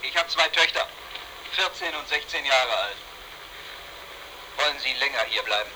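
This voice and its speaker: An actor playing an inquisitive, outwardly helpful middle-aged village driver who keeps insisting, in a naturalistic radio drama. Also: male voice, mid-range voice, expressive, moderate pace, noisy background, lightly acted. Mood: prying. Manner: insistent, questioning.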